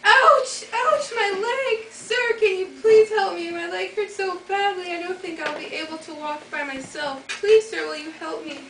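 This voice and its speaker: A woman's voice: very high-pitched, normal speed, hardly any pauses. A teenage girl playing a wicked witch disguised as a pleading, wheedling old lady in a school fairytale play. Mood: pained pleading. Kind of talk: amateur school readers' theater. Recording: echoey noisy hall from far off.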